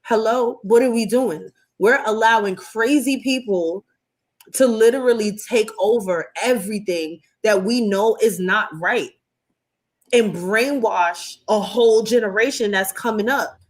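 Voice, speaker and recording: A woman on a podcast argues forcefully, indignant and swearing. Her voice is a little high. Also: unhurried. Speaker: podcast co-host. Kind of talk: heated podcast commentary.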